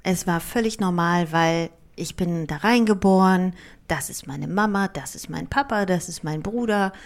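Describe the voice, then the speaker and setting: A woman's voice: fairly low pitch, moderate pace. A woman in her thirties, a writer and performer born in Germany and raised partly in Greece, whose first language is Greek, talks candidly and reflectively in an interview, with fillers and restarts. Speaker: author and performer, guest. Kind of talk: relaxed conversational interview.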